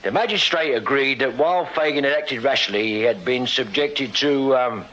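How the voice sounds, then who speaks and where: A bright-voiced London constable acted by a man, deferential and plain-spoken, a touch bumbling when he reads aloud. It is period radio drama on a slightly dull, faintly hissy recording. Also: mid-range voice, normal speed, close to an everyday voice. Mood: reading reports haltingly.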